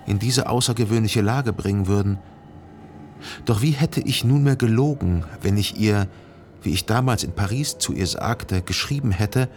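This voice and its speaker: An actor playing a brooding, jealous lover who narrates his thoughts in a literary radio drama, introspective and deliberate. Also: on the low side, gravelly. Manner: introspective, deliberate, rhetorical self-questioning.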